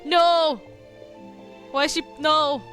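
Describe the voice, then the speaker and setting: A woman gives casual live reactions to a game as a streamer. Her voice is fairly high and conversational, with faint game music behind it. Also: steady tone, slow pace, fairly bright tone.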